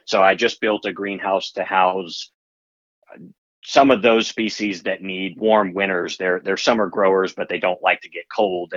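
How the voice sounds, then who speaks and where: A man being interviewed as a guest, explaining plant growing in a relaxed way with many restarts. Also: low-pitched, dry recording, dull audio. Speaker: podcast guest, plant grower. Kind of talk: casual interview talk.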